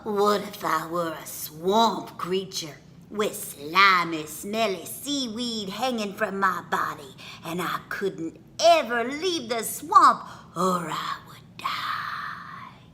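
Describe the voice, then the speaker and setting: A woman's voice: mid-range voice, sing-song, takes it slowly, pushed and bright, a little hiss or hum, heavily acted. A woman voicing an imaginative, anxious young child who questions Mama in a whiny, dramatic way, in a storybook reading. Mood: pleading, dramatic.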